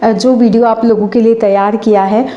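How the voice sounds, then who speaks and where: A hoarse woman hosts her channel and recites a stage anchoring script in a formal, declamatory way, slowing down for poetic couplets. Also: on the high side, steady tone, echoey room, highs cut off.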